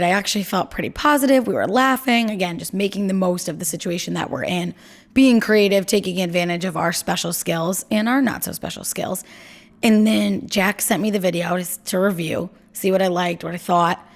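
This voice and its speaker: A young woman co-hosting a podcast from home in casual chat, talking in a chatty, self-deprecating way with a low voice. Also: moderate pace. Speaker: podcast co-host.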